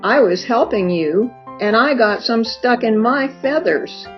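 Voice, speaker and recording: Woman playing animated young storybook pelicans. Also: fairly high pitch, a little slow. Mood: chatty. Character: young pelicans chatting and warning each other. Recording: dull lo-fi recording with music behind.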